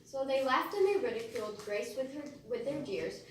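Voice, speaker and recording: Teenage female narrator, high-pitched, rhymed stage storytelling, heavily echoing room, faint noise. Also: expressive, moderate pace. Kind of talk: rhymed storytelling for readers theater. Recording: echoey hall, distant mic, faint noise.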